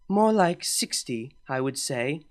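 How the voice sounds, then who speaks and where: A man voicing a worried, motherly princess mare in a read-aloud story, speaking softly and hesitantly. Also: fairly high pitch, animated, slow. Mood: calm.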